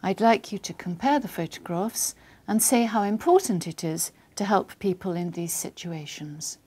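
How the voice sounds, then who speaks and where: A woman's voice: medium pitch, a little mellow. Woman asking formal exam questions. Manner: formal, clear and measured. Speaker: exam interlocutor.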